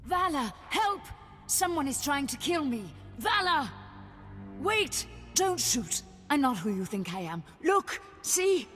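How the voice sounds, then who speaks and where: Frightened pleading noblewoman, shrill high register, frantic game acting, hall reverb. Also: sing-song, takes it slowly, a bit bright, soft background music, exaggerated voice. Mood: terrified. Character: terrified noblewoman decoy begging for life. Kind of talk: video game dialogue.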